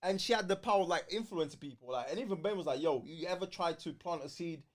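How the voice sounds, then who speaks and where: A man's voice: on the high side, fast. A man with a London British accent chatting casually as co-host of a fan livestream, slangy, opinionated and emphatic. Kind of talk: casual fan debate chat.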